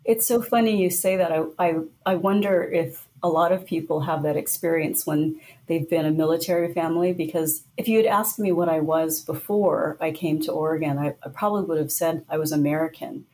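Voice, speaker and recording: Middle-aged woman guest, thoughtful storyteller, a bit low pitched. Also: fairly quick, mellow, a little hiss or hum, rough recording. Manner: thoughtful storytelling, light laughs. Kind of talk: conversational interview.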